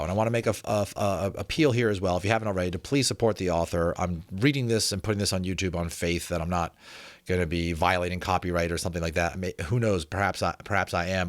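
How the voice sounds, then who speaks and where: Low-voiced man reading aloud expressively. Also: dry recording. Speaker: reader and presenter of a book reading channel. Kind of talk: dramatic audiobook reading with casual asides.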